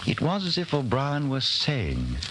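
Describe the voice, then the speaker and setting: An actor playing a grave, intense narrator of a dystopian tale in a 1940s radio drama, telling it with suspense. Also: male voice, medium pitch, wildly swooping pitch, a little slow. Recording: slightly noisy 1940s radio recording.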